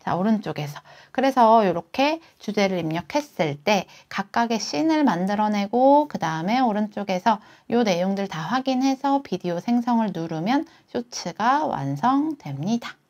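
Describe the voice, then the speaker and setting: A woman hosting a software tutorial, walking through each step as she explains it. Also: medium pitch, expressive, normal speed, few pauses. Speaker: tutorial host. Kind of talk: software tutorial, screen walkthrough.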